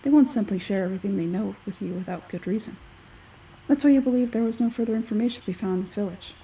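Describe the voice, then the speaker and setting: A woman voicing an aloof, logical, smug scholarly man from a game, measured and condescending, with a husky forced-low tone. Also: mellow, far from the mic, a little hiss or hum, close to an everyday voice, low-quality recording. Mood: measured, explaining.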